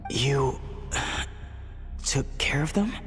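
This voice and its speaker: A man voicing an anxious, fervent young experimenter in a video game, halting and intense as he breaks his phrases apart. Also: medium pitch, animated. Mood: wary. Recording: long reverb, music underneath.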